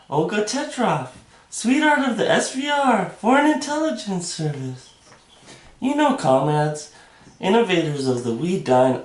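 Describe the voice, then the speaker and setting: A man putting on a high falsetto to play a vain, sly female Russian spy in a comic monologue, with a mock Russian accent. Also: a little mellow. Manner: falsetto, mock Russian accent, swooping sing-song pitch. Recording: echoey room, mic at a distance.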